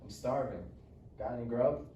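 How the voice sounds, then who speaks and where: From a distance in a roomy space, a man acts out a defiant teenage boy. The voice is soft-edged and dark, grumbling through slangy dialogue at a live table read. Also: mid-range voice, moderate pace, lightly acted. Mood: sullen. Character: sullen, defiant teenage Mexican-American boy. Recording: roomy distant mic, faint noise.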